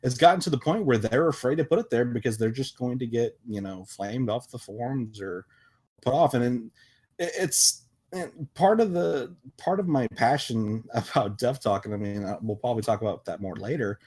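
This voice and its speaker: A man with a mid-pitched voice speaks in a casual podcast discussion. He is emphatic but halting, doubling back and restarting phrases as he argues his point as one of the panel. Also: darkish tone, breathy edge. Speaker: podcast panelist and visual novel developer.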